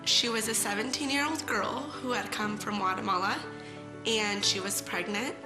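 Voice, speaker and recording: A woman calmly and seriously telling a client's story in an interview video. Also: medium pitch. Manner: measured, serious. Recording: echoey room with music underneath.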